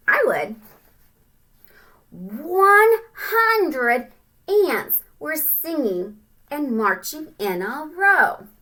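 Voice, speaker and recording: A woman teacher reading a picture book aloud to young children, with simple, expressive explanations in between. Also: fairly high pitch.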